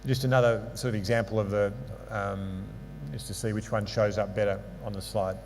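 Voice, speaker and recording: A man's voice: lowish voice, little pitch movement, fairly quick, darkish tone. A man giving a conference lecture on sports medicine with slides, explaining concepts patiently and adding dry asides. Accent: non-local English accent. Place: lecture hall. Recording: hall reverb with mains hum.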